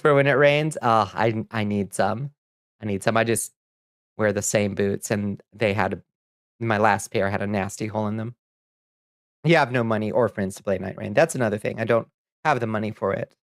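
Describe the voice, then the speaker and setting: A man in a relaxed stream chat, rambling through stories between reading viewer messages. His voice sits at a middling pitch with easy, frequent laughs and a slightly sniffly edge. The sound is dry and close, with no room around it. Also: no echo. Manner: rambling, chatty, quick laughs, reads chat aloud. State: a little sniffly. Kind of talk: casual chat while gaming.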